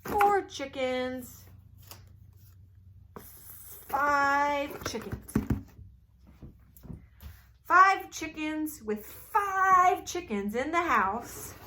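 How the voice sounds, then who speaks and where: A woman telling a folktale at a children's storytime, playful and animated, inviting the kids to join in. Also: sits high, slight background noise. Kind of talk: storytime read-aloud for kids.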